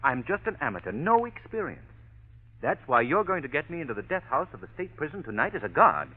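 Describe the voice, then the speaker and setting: An actor in a 1940s radio drama playing a suave, glib amateur sleuth with a smooth, teasing manner, on a lo-fi old broadcast. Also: male voice, on the high side, very animated, brisk. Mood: coaxing.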